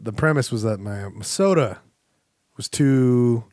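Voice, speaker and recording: A man hosting a casual podcast chat on a podcast microphone, loud and teasing, laughing easily. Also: medium pitch, a little slow, dry recording, clean studio sound. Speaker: podcast host.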